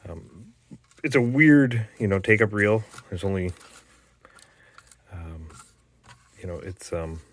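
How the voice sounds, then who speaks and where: A man's voice: fairly low pitch, lively intonation. A man from Canada giving a rambling, casual show-and-tell about restoring an old tape machine, stopping often with fillers and restarts. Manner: rambling, halting, lots of fillers.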